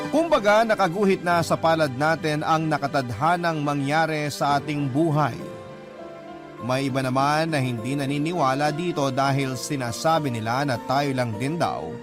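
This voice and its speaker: Male Filipino storyteller for radio-style emotional narration, fairly high voice, music bed clearly underneath. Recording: prominent music behind the voice.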